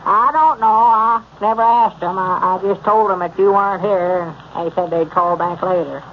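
A high-voiced old country storekeeper, rambling and evasive in a rural Southern accent. He hems and haws in folksy 1930s radio comedy style. The recording is muffled, with clear noise. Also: steady tone, normal speed.

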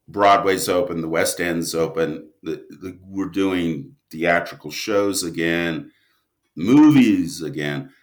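A man with a very low voice talks through his work in a reflective interview. His delivery is slow and stop-and-start, yet the intonation moves widely, with drawn-out stresses on key words. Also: a bit bright. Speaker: guest writer and producer of audio drama.

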